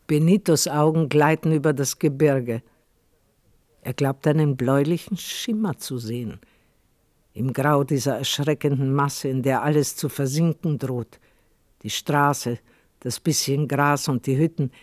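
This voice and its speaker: Dry, slightly high-voiced male narrator. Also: fairly even intonation, a little slow, dry recording. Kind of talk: scripted literary radio play narration.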